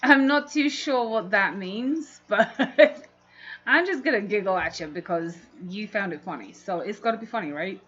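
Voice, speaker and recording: Casting: female livestream host, London accent, chatty and rambling, mid-pitched voice, dull lo-fi audio. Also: normal speed, fairly bright tone, slight background noise. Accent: London English. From London, England. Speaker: livestream host, fishkeeping hobbyist. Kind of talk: casual livestream chat answering viewers.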